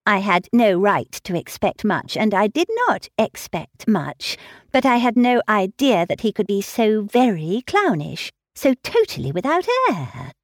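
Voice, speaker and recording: Woman playing a condescending young lady in an audiobook, crisp and lively, dry close sound. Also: medium pitch, measured pace, no room sound. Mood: disdainful. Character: self-assured, snobbish young gentlewoman, lecturing.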